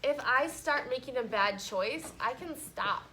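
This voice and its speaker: A woman teacher reading a story to children and discussing it with them in an animated, conversational way, often checking in with questions. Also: high voice, a little slow, slight background noise, low-quality recording. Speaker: elementary school teacher. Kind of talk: children's story read-aloud with class discussion. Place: classroom with children.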